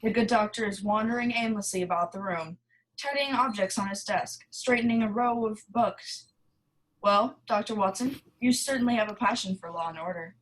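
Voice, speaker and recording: A woman's voice: mid-range voice, moderate pace, lightly acted, poor audio. A woman playing an old-time male radio announcer who introduces the show and chats with the narrator. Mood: formal. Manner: formal announcer delivery.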